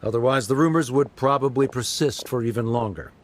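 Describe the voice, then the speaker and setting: A man's voice: medium pitch, fairly quick, a little hiss or hum, close to an everyday voice. An actor playing a proud but caring middle-aged scholar father in a video game, speaking formally and a little stiffly. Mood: composed, formal. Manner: formal, stiff, hesitant, precise wording.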